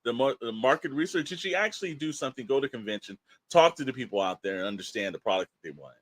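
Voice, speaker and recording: A man's voice: medium pitch, lively intonation, fast talker, dry recording. A man co-hosting a podcast, giving his opinions in an emphatic, rambling, colloquial way. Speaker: podcast co-host. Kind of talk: opinionated casual podcast talk.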